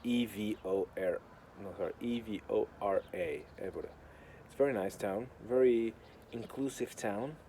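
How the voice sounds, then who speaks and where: A man from Portugal with a Portuguese accent rambles casually as a video host, with a deadpan, sardonic, sweary manner. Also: fairly low pitch, normal speed, few pauses. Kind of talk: casual rambling talk to camera. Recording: clear outdoor urban construction noise. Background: noise of building works.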